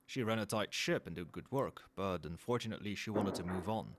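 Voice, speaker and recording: A man playing a cold, professional company boss in an ASMR roleplay, speaking in a formal, measured, quietly demanding way. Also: fairly low pitch, heavy background noise, lightly acted. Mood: composed, businesslike. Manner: formal, measured, businesslike, faintly suggestive. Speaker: voice actor in an audio roleplay. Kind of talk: ASMR roleplay, one-sided dialogue to the listener.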